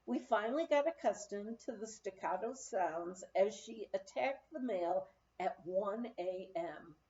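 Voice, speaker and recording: A woman reading a memoir aloud as an audiobook narrator, telling it in an expressive storytelling manner. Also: medium pitch, measured pace, dull audio. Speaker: audiobook reader.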